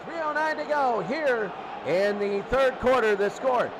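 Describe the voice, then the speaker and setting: A man's voice: extremely high pitch, expressive, a little slow. A man doing live play-by-play radio commentary on a football game in short, clipped phrases. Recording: loud crowd noise, noisy broadcast. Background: roaring stadium crowd.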